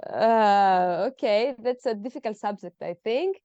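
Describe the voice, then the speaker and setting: Woman in her 30s, smooth voice, halting and heartfelt, dry dull-sounding mic. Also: mid-range voice, warm tone, dry recording. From Greece. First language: Greek. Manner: hesitant, emotional, frequent fillers. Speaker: podcast guest. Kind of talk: personal interview.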